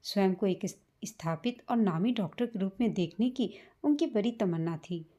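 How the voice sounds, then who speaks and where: Mellow woman narrating an emotional story. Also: medium pitch, lively intonation, normal speed.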